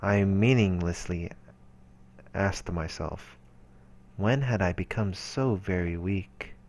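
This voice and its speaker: Low voiced guy reading visual novel narration out loud for a lets play. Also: takes it slowly. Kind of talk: reading game narration aloud.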